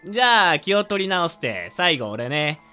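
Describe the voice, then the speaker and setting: A man's voice: on the high side, very animated, moderate pace, sharp tone, smooth, soft background music. A man playing a boisterous young man in a radio drama, announcing things theatrically as the ringleader of a game. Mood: showy, eager. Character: boisterous young man gleefully hosting a dark hot-pot game. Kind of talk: amateur Japanese radio drama acting.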